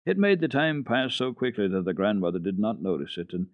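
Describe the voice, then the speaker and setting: A man's voice: fairly low pitch, very animated, brisk, no room sound. A man narrating a children's classic as a radio storyteller, reading dramatically. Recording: dull archival radio tape, dry.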